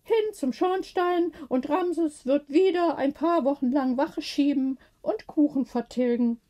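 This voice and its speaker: A woman voicing a lively, confident elderly lady neighbour in an acted audiobook, with an animated, knowing manner. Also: high-pitched, unhurried, pitched above the performer's own voice. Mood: amused. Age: older.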